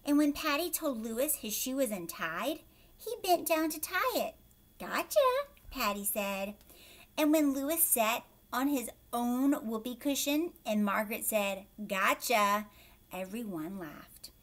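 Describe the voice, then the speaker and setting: A woman reading a children's story aloud like a teacher, expressively, pausing to explain and ask young listeners questions. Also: on the high side, animated. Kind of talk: animated children's read-aloud with explanatory asides.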